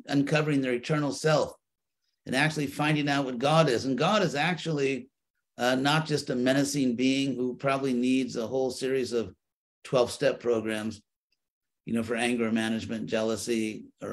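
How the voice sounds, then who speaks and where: A man of middle pitch leads a study group, answering questions at length. He thinks aloud, stalling and repeating words before landing on his point, and keeps a light touch of humor as he explains. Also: steady tone, moderate pace. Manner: thoughtful, restarts and repeats words while searching for phrasing. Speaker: spiritual teacher.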